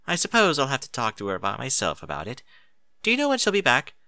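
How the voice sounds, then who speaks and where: A man's voice: medium pitch, huge pitch swings, fast talker, pushed and bright, no echo, clean studio sound, full character voice. A man voicing a refined, dramatic lady unicorn pony with genteel, flowery phrasing in a storybook reading. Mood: discreet.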